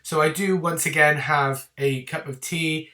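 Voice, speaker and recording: A man talks to camera about a film series in a loose, chatty way, with plenty of ums and little apologies. His voice sounds congested and slightly rough from a lingering cold. He is picked up from a little distance away from the microphone. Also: fairly high pitch, steady tone, a little slow, pushed and bright. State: bunged up and slightly rough from a winter illness. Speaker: YouTube channel host. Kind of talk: casual film review to camera.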